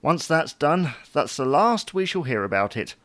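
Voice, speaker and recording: A man's voice: a little high, sing-song, normal speed. A man playing a chatty, fussy, wryly puzzled husband in an audio sitcom. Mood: dry. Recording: noticeable background noise.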